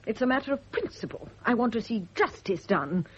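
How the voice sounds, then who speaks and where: Bitter nurse in old radio play, very husky, voice swings all over, indignant. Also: female voice, fairly high pitch, big pitch swings, fairly quick. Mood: righteous accusation. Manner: indignant, insinuating, emphatic. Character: bitter, suspicious, righteous woman nurse, scornful of men. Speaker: private nurse. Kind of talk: 1970s radio drama acting. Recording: dull lo-fi old radio recording.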